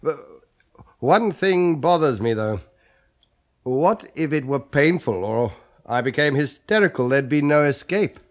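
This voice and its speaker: An actor playing a proud, skeptical, dying philosophy scholar with a dry, deliberate, pompous manner, in a 1970s radio horror play. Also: male voice, fairly high pitch, expressive. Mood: hesitant, uneasy.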